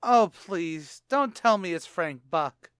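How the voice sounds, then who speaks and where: A man acts a bluffing tavern manager from a 1940s-style radio comedy. His voice rides high and swings widely as he boasts and stammers in rough grammar. The sound is close and dry. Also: expressive, takes it slowly, a bit bright, no echo, exaggerated voice. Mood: hopeful.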